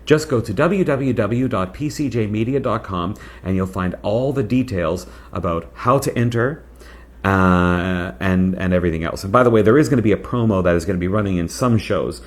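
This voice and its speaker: A man with a fairly low voice presents a product review as the host. His delivery is casual and conversational, with emphatic points addressed straight to the viewer. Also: moderate pace.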